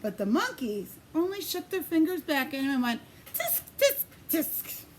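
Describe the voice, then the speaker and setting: A woman preschool teacher reading a picture book aloud in an expressive, sing-song way, pausing to put questions to young children. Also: high voice, a little hiss or hum.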